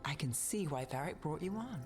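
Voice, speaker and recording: An actor playing a level-headed, skeptical investigator in a video game, with a dry, matter-of-fact delivery. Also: male voice, fairly high pitch, expressive, a little fast, a little mellow, soft background music. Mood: wry, thoughtful. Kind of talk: video game cutscene acting.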